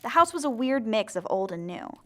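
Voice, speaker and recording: A woman's voice: on the high side, big pitch swings, fairly quick. A woman playing a bewildered teenage zombie girl who dramatically narrates her own story in an audio drama. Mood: wry storytelling. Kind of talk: scripted first-person audio drama narration.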